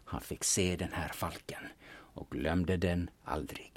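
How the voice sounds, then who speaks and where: An actor playing a cynical, blunt, secretive grave robber in an audio drama, telling things in a dry manner. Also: male voice, sits low, fairly even intonation, whispered. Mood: intense storytelling.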